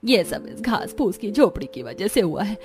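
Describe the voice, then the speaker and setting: A woman's voice: medium pitch, very animated, moderate pace, darkish tone, faint music behind, close to an everyday voice. A woman playing an earnest, practical village housewife in a scripted cartoon story. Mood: concerned. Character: village women, mother-in-law and daughters-in-law, earnest and practical.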